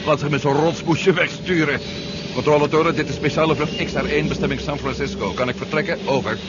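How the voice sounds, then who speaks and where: Dull, noisy radio play: actor as cocky male pilot, high voice, brisk and practical. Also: steady tone, lightly acted. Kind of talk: 1970s Dutch radio drama acting.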